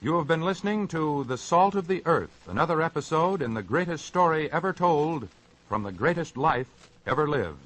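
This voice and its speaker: A man's voice: a little high, expressive, normal speed, low-quality recording. A male announcer reading a formal, stately scripted sign-off in 1940s radio style. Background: loud music.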